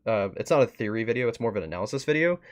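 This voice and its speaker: A man hosting a livestream in a casual chatty style, rambling a bit as he thinks out loud. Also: fairly low pitch, lively intonation, very fast. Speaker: streamer and host. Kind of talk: casual livestream wrap-up chat.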